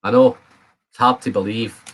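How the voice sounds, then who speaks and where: A man's voice: mid-range voice, fairly even intonation, normal speed, fairly bright tone. A man in his fifties with a Scottish accent, chatting casually to viewers as the host of a live stream. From Scotland. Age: 50s. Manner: chatty, addresses viewers by name.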